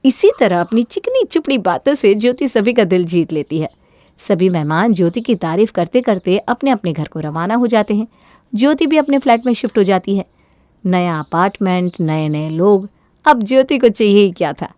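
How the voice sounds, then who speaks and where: A woman's voice: mid-range voice, animated, moderate pace, warm tone, dry recording. A woman narrating a scripted moral story as a storyteller.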